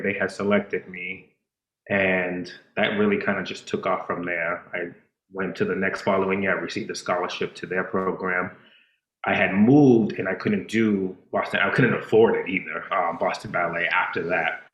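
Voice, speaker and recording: Guest, male, deep voice, Spanish-speaking upbringing, thoughtful, lots of ums, roomy echo. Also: a bit bright, highs cut off. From Dominican. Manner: reflective, many fillers and restarts. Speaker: dancer and choreographer, podcast guest. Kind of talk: casual interview chat.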